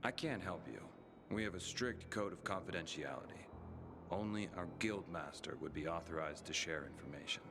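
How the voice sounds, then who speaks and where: A man's voice: low-pitched, expressive, normal speed, background noise. An actor playing a discreet male guild messenger who speaks formally and precisely. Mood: reserved. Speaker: guild carrier. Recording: game cutscene with music bed.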